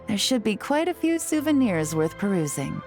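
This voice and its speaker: A woman voicing a poised, cool and teasing female agent in a video game, measured, suave and articulate. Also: mid-range voice, sing-song, darkish tone, faint music behind, lightly acted. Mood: relaxed, teasing. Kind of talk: dramatic video game voice acting.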